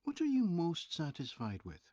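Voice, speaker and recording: A man's voice: a little high, huge pitch swings, normal speed, a little mellow, dry recording. Courteous male interviewer, methodical questions, varied intonation, slightly muffled dry recording. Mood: polite, businesslike. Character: courteous survey interviewer at a railway station. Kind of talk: scripted listening-test dialogue.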